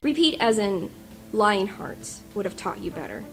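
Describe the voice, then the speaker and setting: A woman's voice: mid-range voice, big pitch swings, normal speed, low-quality recording. A woman acting a stern, no-nonsense pony nurse who scolds dryly in a radio play. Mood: stern, reproving. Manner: dry, scolding. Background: music bed.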